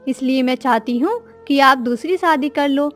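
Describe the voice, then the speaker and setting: महिला की आवाज़ में ऊँची आवाज़ वाली शिकायती कौवी. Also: female voice, sits high, normal speed, soft background music, natural voice. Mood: coaxing. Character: discontented, scheming female crow, a complaining wife. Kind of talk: children's animated story acting.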